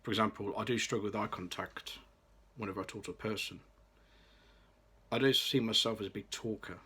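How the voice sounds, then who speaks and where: Low-voiced young man, bright tone. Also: steady tone, fairly quick, breathy edge. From Birmingham, England. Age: late 20s. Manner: careful, read-aloud delivery with occasional restarts. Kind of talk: scripted personal vlog.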